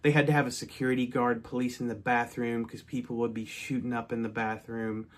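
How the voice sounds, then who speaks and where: A man's voice: medium pitch, level tone, a little fast. A middle-aged man from North Texas, casually telling a personal story in a chatty way, with frequent restarts and asides.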